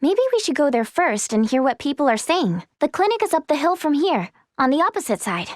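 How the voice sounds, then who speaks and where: An earnest young video game heroine with a very high, lively voice. She is a kindly healer's helper, polite and eager in every line. The recording is dry and close. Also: brisk, no room sound. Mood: businesslike, friendly. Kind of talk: scripted video game voice lines.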